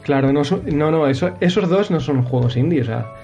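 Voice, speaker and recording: A man's voice: on the high side, brisk, mellow, lo-fi sound, faint music behind. A young man from hosting a casual video game chat, rambling with restarts and swearing. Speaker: podcast host.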